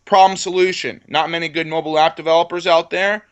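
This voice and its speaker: A man's voice: a little high, level tone, quick pace, clean tone. A man in his twenties speaking as an entrepreneur guest in an interview, confident and boastful, driving points home with repeated phrases. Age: 20s. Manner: self-assured, boastful. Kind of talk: conversational business pitch in an interview.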